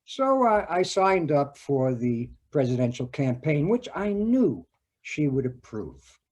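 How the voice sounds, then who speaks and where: Casting: male actor for earnest, conflicted man in a stage monologue; unhurried, halting, reflective, mellow tone. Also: fairly high pitch, big pitch swings, full character voice. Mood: earnest, troubled. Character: earnest, conflicted man confiding about money, love and conscience. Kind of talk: dramatic stage monologue in readers theater.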